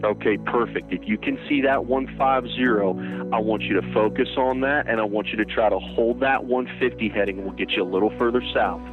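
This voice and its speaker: A man working as an air traffic controller, giving calm, reassuring, directive instructions over the radio. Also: lowish voice, a little fast, phone-quality sound. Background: music.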